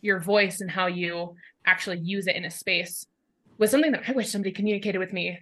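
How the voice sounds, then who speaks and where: A young woman, a mountain guide, speaking casually in an interview, with a naturally loud, outgoing voice. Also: mid-range voice, fairly even intonation, quick pace.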